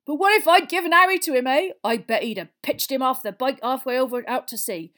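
A woman voicing a gruff, loyal giant man in a storybook, with a growling, rough and folksy manner. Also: high voice, expressive, brisk, a bit bright, pitched above the performer's own voice. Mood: bitter.

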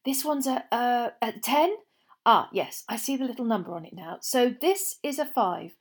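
A woman voicing a cheerful, easily flustered middle-aged wizard father, high and loud, stumbling over words. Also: lively intonation, moderate pace. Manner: eager, nervous, fumbling with words.

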